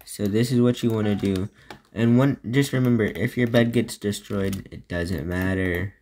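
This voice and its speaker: A woman's voice: a bass voice, moderate pace, warm tone. A woman with a Southern US accent giving solo gameplay commentary, rambling and talking to herself between moves. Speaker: gaming YouTuber.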